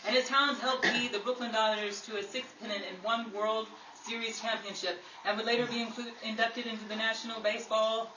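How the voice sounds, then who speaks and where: A woman's voice: on the high side, little pitch movement, a little fast, few pauses, sharp tone. A woman narrating a scripted documentary-style radio program. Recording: roomy, distant mic, noisy background.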